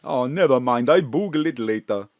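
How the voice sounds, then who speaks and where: An actor playing a cheerful, flirtatious foreign neighbour who mixes up English words. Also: male voice, mid-range voice, moderate pace, no room sound, close to an everyday voice. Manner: warm, flirtatious, lively. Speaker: Sakis, café owner friend. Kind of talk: live audio drama acting. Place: home studio.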